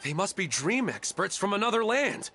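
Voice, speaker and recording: Eager, high-pitched game townsman. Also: sing-song, normal speed, bright, forceful tone, faint music behind, full character voice. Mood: excited. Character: excitable, eager townsman in a bazaar. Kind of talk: video game voice acting.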